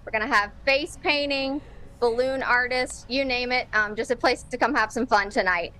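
A young woman with a bright, forceful voice pitched a bit high. She speaks as a team spokesperson in a live TV interview, listing event details from an open-air stadium concourse. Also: moderate pace. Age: young adult. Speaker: baseball team marketing executive, interview guest.

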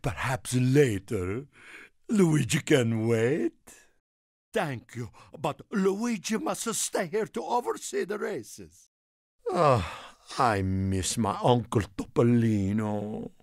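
A man voicing an excitable, warm-hearted Italian car in a video game, with an Italian accent and a theatrical manner. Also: mid-range voice, slow, no room sound, clean studio sound, full character voice. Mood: fretful, pleading. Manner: theatrical, refers to himself in third person. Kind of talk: animated video game character lines.

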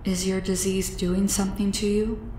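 A woman voicing a gentle, curious, wistful male alien in a story, with slow, measured, formal phrasing, over a noisy background. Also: mid-range voice, little pitch movement, reverberant, lightly acted. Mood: concerned.

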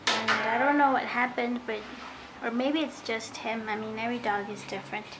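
A woman's voice: a little high, steady tone, normal speed, very noisy. A woman chatting casually and a little hesitantly in an informal, rambling way as she works as a dog groomer. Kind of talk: casual hands-on vlog chat while working. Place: indoor grooming room with metal tub. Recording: music playing in the background. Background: radio music.

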